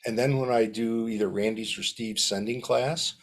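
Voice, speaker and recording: A man who is training to instruct, speaking in a measured, thoughtful way on a video call. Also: lowish voice, steady tone. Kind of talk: casual group video-call discussion.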